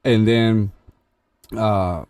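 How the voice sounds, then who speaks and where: A man hosting a music-mixing tutorial, walking through the steps in a relaxed, explanatory way with frequent restarts. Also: lowish voice, extremely slow, smooth, no room sound. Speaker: host demonstrating music mixing. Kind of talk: casual tutorial walkthrough.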